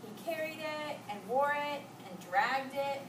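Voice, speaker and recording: High-voiced woman reading aloud, echoey room. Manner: expressive, pausing to ask and echo children's answers. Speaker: teacher reading aloud to children. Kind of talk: storybook read-aloud with questions to children. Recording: echoey, noisy room, distant mic.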